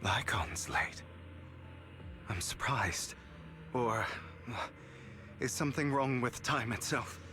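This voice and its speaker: A male actor plays a proud, composed young man in a game drama. His medium-pitched voice is slow, measured and theatrical, with clear music behind it. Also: lightly acted. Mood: puzzled. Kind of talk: dramatic video game voice acting.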